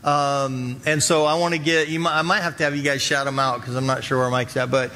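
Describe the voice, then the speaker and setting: A man's voice: mid-range voice, steady tone, a little fast, pushed and bright, slight background noise. An American man in his forties speaking as a pastor, upbeat and casual, chatting informally with his congregation from a church stage. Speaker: pastor leading a church service. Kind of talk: informal interactive sermon. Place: church auditorium stage, indoors.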